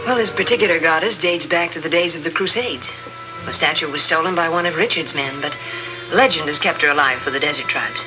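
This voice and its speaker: An actress playing a composed, businesslike female archaeologist and plotter in 1950s radio drama, speaking formally and with measured care. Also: sits low, music in the background, lightly acted, poor audio. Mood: guarded, composed. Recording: vintage 1950s radio recording, faint noise.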